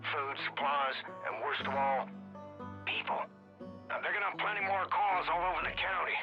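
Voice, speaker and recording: An actor playing a gruff older American survivalist guide, giving a plain-spoken, folksy mission briefing over the radio in a video game. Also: male voice, on the high side, moderate pace, dull audio, music in the background. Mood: steady, cautionary.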